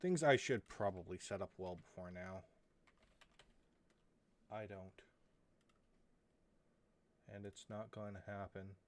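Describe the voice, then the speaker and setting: A man's voice: low voice, slow, long pauses. A man streaming a game, chatting casually and rambling to himself as he checks his microphone and sets things up. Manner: rambling, talks to himself, drops into muttered asides. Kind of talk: casual live-stream chat while setting up. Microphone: desk microphone he is testing.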